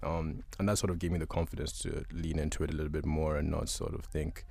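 Very deep, gravelly-voiced man from Uganda. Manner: relaxed and thoughtful, many "um" pauses. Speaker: guest musician. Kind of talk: casual interview answers.

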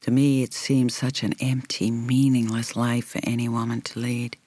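A woman reading a first-person novel as an audiobook narrator, in a measured, reflective, carefully articulated way. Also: extremely low pitch, flat delivery, mellow, no room sound.

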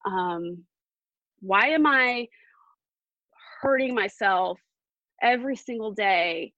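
Earnest woman telling her story, halting. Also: medium pitch, a bit bright, no room sound, dull audio. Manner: earnest, halting, with many fillers. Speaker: podcast guest. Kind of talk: personal story in an interview.

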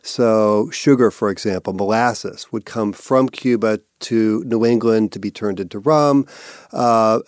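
Low-voiced man, thoughtful interview answers. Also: a little mellow, no echo, clean studio sound. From Massachusetts. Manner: thoughtful, searching with repeated words and fillers. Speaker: artistic director of an arts institute.

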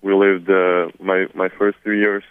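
A Serbian man in his twenties, a newly drafted basketball player, answering press questions in halting, repetitive English. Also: sits low, level tone, clear, resonant tone, dry recording. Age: 20s. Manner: halting, many repeated words. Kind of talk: phone press conference answers. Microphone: phone. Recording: tinny phone line.

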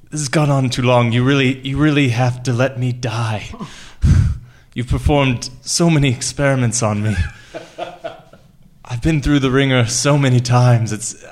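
A man's voice: medium pitch, close to an everyday voice. Man playing weary, kindly old lab rat. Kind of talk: improvised radio theater.

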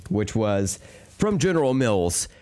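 A man with a hoarse, strained voice chats on a podcast over a call mic, his lowish voice rising and falling all over as he rambles and jokes. He stumbles through fillers and restarts, cracking bits as he goes. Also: very animated, takes it slowly, dark tone. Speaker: podcast co-host. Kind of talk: casual chat. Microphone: remote call mic.

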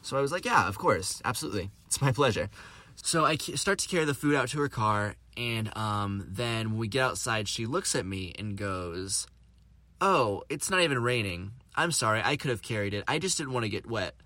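A young man hosts his podcast in a loose, rambling monologue, full of fillers and self-mockery. His voice is bright and forward. The sound is close and dry, with no room around it. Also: medium pitch, animated, moderate pace, no echo. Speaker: podcast host. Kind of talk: casual storytelling monologue.

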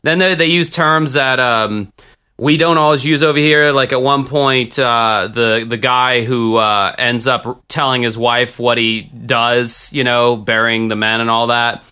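An American man talks through a movie in loose, casual podcast chat, often restarting his sentences and trailing into fillers. His voice is bright and forward, with a clean, clear tone. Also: mid-range voice, steady tone, a little slow. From United States. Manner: rambling, many restarts and fillers. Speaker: film podcast co-host. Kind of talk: casual film review chat.

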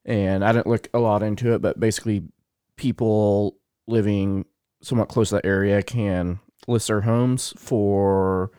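A man's voice: fairly low pitch, steady tone, a little slow, dark tone, clear, resonant tone, no echo, studio quality. A man co-hosting a podcast, rambling casually with many fillers and self-corrections, a bit sniffly. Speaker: podcast co-host. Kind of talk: casual chat.

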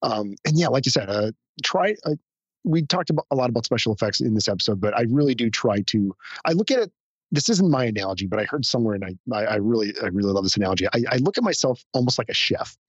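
A hoarse man who is a guest on a podcast, chatting modestly with frequent restarts. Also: medium pitch, animated, fast, dry recording, muffled recording. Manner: modest, hesitant, many restarts. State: hoarse, recovering from illness. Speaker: podcast guest, hobby photographer. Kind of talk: casual interview chat.

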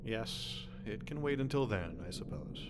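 A man's voice: lowish voice, lively intonation, unhurried. A man playing a reluctant robot craftsman in an audio drama, uneasy but stiffly polite and hesitant with his superiors. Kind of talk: scripted audio drama acting.

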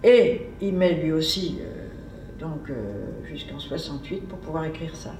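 A woman with a fairly low voice gives a seminar talk in a loose, conversational way, wandering from point to point as she thinks aloud. She sounds distant from the microphone, and the room echoes around her with a faint hiss underneath. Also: animated, unhurried, warm tone. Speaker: seminar speaker. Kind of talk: informal academic lecture. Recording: echoey room, mic far off.

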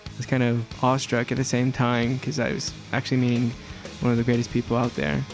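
A young man speaks earnestly about his own experience, stumbling and restarting now and then. His delivery is flat and steady, with a tone in the middle of the male range. A guitar bed plays clearly beneath him. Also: medium pitch. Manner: earnest, halting with repeated restarts. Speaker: youth radio reporter. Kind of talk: personal testimonial. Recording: music bed behind the voice.

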